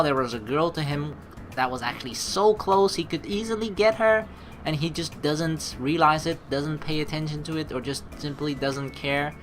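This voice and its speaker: A woman doing a playful let's play, reading game narration aloud and adding teasing, jokey asides. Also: sits low. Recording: music behind the voice. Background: game music.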